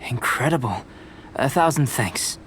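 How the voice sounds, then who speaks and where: An actor playing a calm, polite young male alchemist and researcher in a fantasy game, speaking in a measured, formal, detached way. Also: medium pitch, a little hiss or hum. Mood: intrigued, composed. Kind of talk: scripted fantasy game dialogue. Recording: game music behind the voice.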